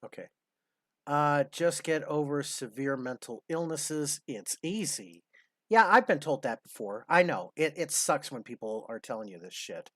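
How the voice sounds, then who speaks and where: A man's voice: on the high side, expressive, a little slow, a bit bright, no room sound, clean studio sound. A man in his fifties hosting a casual commentary, blunt, sarcastic and profane. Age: 50s. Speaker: host.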